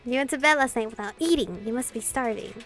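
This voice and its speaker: A woman's voice: a little high, fast, a bit bright, faint music behind, lightly acted. A woman reading as a frank, caring man. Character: frank, caring male companion in visual novel.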